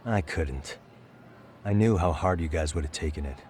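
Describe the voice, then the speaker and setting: A man's voice: low voice, moderate pace, a little mellow, a little hiss or hum, natural voice. An actor playing a laid-back male detective with an American accent and a casual, understated delivery in a video game. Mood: calm, guarded. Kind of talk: video game cutscene acting, naturalistic.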